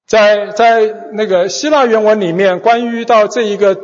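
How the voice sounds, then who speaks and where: A man's voice: sits high, moderate pace. A man interpreting a sermon phrase by phrase, hesitating and restarting as he goes. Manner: hesitant, many fillers and restarts while interpreting. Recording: dull recording in a large echoing hall.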